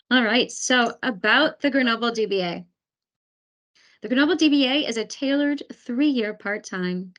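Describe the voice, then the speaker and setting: A woman's voice: on the high side, fairly bright tone. A woman hosting an online webinar as program advisor, talking with many fillers and restarts over a video call. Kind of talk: webinar presentation.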